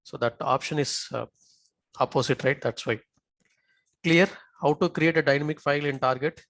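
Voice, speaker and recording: A man giving a live software tutorial as an instructor, often checking in with short tag questions. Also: on the high side, normal speed, dry recording.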